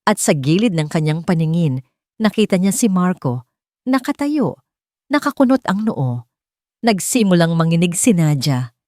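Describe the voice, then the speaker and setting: Low-voiced woman telling a dramatic story. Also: sing-song, moderate pace, pauses often, a little mellow, dry recording, clean studio sound. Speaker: narrator of romance audio stories. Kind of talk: dramatic scripted story narration.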